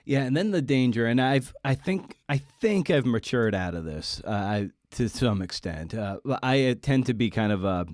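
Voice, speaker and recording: A man hosting a podcast interview in a casual, conversational style, with a halting, candid way of talking. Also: medium pitch, expressive, darkish tone, no echo, clean studio sound.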